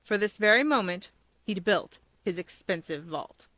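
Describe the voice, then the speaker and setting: A woman's voice: medium pitch, animated, measured pace, background noise. A woman reading scripted fiction as a story narrator.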